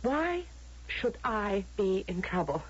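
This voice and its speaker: A dull vintage radio recording of a miserable young woman in a false paradise. Her voice is husky and swings widely in pitch, plaintive and stubbornly emotional. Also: very animated, slow, full character voice. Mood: defiant, upset. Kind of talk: old radio drama acting.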